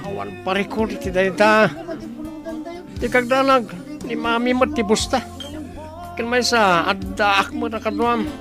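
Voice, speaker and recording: A man's voice: high-pitched, steady tone, unhurried, pauses often, over music. An actor playing a jealous, drunk, hot-tempered man in love, in a radio drama. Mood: drunk, bitter. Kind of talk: Ilocano radio drama acting.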